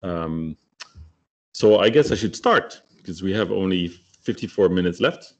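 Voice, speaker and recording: A man's voice: deep voice, lively intonation, measured pace. A middle-aged man from the Netherlands, giving a technical webinar talk with many hesitations and fillers. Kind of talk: technical presentation. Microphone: video call. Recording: muffled lo-fi video-call audio.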